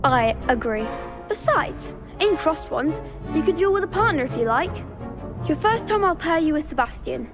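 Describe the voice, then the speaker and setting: High-voiced, confident schoolgirl. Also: lively intonation, moderate pace, over music. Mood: eager, confident. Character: bright, confident schoolgirl dueling club organiser. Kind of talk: scripted video game acting.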